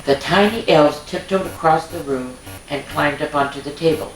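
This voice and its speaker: A woman's voice: low voice, moderate pace. Woman reading to kids in an echoey room. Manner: homely, chatty, exclaims and points things out to children. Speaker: children's storytime host. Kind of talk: reading a picture book aloud to young children, with chatty asides. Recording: echoey room with background music.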